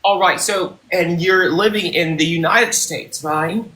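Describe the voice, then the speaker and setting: A woman's voice: on the low side, measured pace, fairly bright tone. A woman hosting a livestream as a mock CEO, interviewing candidates in a pompous, mock-serious manner over a phone call. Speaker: host playing a CEO. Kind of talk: improvised comic roleplay interview.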